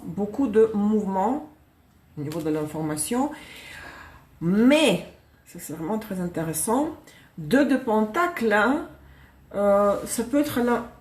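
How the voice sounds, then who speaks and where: A woman's voice: medium pitch, a little mellow. Woman reading tarot live, chatty, laughs a lot, echoey room. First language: Russian. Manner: chatty, self-correcting, laughs often. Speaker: tarot reader.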